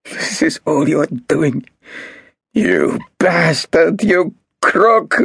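A man voicing a desperate, scheming male businessman with a shrill, wheedling voice. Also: huge pitch swings, unhurried, creaky, slightly rough, no room sound, clean studio sound, exaggerated voice. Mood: shrill angry.

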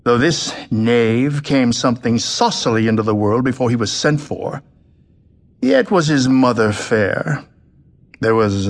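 An actor playing a jovial, bawdy older nobleman with a courtly, expansive manner in Shakespearean audio drama. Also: medium pitch.

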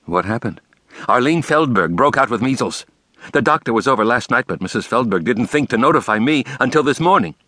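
Theatrical fortyish male principal, high and animated, fussy and indignant, voiced by a male reader. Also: moderate pace, no room sound. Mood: fretful. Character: bouncy flamboyant fortyish male school principal.

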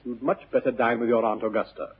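An earnest, easily irritated English gentleman of the upper class, speaks in clipped stage-comedy style. He is quick and serious, with a rough, husky tone on a dull vintage recording. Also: medium pitch, fairly even intonation. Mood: curt.

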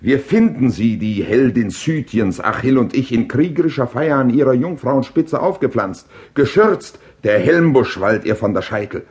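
An actor playing a proud Greek king and war leader who recounts battle in classical verse drama, declaimed with deliberate, rhetorical phrasing. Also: mid-range voice, lively intonation, darkish tone, close to an everyday voice. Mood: impassioned, rhetorical.

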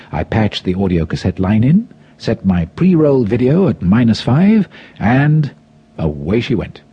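Husky, low-voiced male audiobook narrator. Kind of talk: scripted first-person memoir narration, theatrical storytelling. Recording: dull lo-fi audio.

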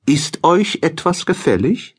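A man's voice: on the high side, very animated, measured pace, breathy edge, voice pushed up, heavily acted. A man voicing a modest, courteous cobbler's wife who sells vegetables in a fairy tale. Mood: polite, obliging. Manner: courteous, obliging.

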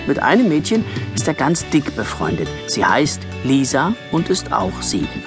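Lively male children's story narrator over music. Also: medium pitch, moderate pace. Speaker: audiobook narrator.

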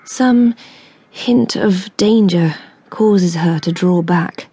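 A woman's voice: lowish voice, extremely slow, dark tone, breathy edge, no room sound, full character voice. A woman acting as the intimate, knowing narrator of a radio drama. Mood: measured, knowing.

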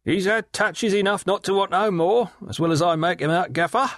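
A man voicing a leering, lowborn male river scavenger who talks in a coaxing, slangy way. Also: normal speed, a bit bright, no room sound, studio quality. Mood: wheedling.

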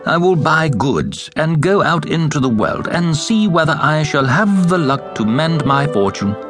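A man's voice: a little high, sing-song, measured pace, music in the background, pitched above the performer's own voice. A man voicing an honest, hardworking storybook fellow, earnest, determined and hopeful. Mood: resolute.

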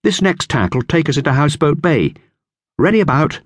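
A man voicing a confident, practical boy captain in an audiobook, speaking in brisk, matter-of-fact orders. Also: fairly high pitch, sing-song, no echo, clean studio sound, pitched above the performer's own voice. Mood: brisk, assured.

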